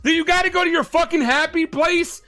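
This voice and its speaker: High, bright-voiced man ranting. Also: steady tone, a little fast, soft background music. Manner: profane, mocking, stammering for effect. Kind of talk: ranting sports commentary clip.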